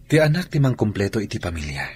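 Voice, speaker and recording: An actor playing an earnest, soft-spoken husband who longs for children. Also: male voice, on the low side, sing-song, fast talker, a little hiss or hum. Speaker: husband working abroad. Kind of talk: Ilocano radio drama acting.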